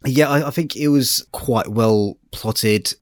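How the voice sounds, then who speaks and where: A man's voice: medium pitch, takes it slowly, no echo, clean studio sound. A man co-hosting a review podcast, speaking casually and tentatively as he searches for his words. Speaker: podcast co-host reviewing audiobooks.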